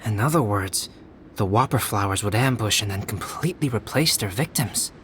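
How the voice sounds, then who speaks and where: A man voicing a composed young male alchemist in a video game, calm and measured with a dry, deadpan humor. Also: lowish voice, a little hiss or hum, close to an everyday voice. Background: game music.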